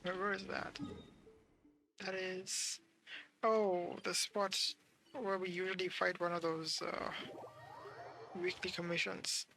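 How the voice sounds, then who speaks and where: A man's voice: sits high, level tone, slow pace, creaky, pauses often, pushed and bright, slight background noise, low-quality recording. A man streaming a video game, giving casual, musing and somewhat hesitant live commentary. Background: game music.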